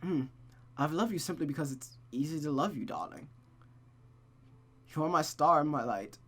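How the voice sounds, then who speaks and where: A reader voicing a doting gentleman lover from a visual novel, gentle, wry and slightly formal. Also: mid-range voice, lively intonation, moderate pace. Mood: wry, adoring.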